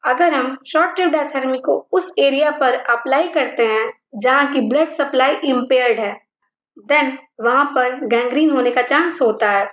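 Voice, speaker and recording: A woman teaching physiotherapy in a lecture, explaining each term slowly and deliberately. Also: high-pitched, a bit bright. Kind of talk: lecture, mixing Hindi and English. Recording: roomy, dull lo-fi sound.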